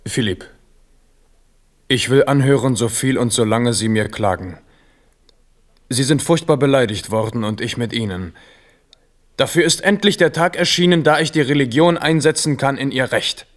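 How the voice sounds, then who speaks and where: An actor playing a confident, shrewd French king in a 1970s radio drama, speaking lively and commanding. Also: medium pitch, moderate pace, long pauses, echoey room, close to an everyday voice. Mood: consoling.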